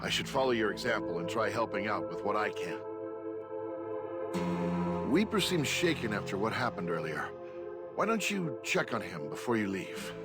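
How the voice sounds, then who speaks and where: Casting: thoughtful fantasy game sidekick, animated mid-range voice, helpful, music underneath. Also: male voice, fairly low pitch, normal speed, pauses often. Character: helpful, thoughtful male adventuring companion. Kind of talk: video game cutscene acting.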